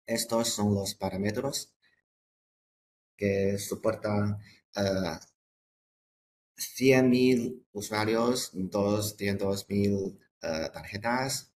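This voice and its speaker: A man presenting products in a webinar, halting and hesitant, often repeating words and breaking off phrases. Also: lowish voice, a little mellow, slight background noise.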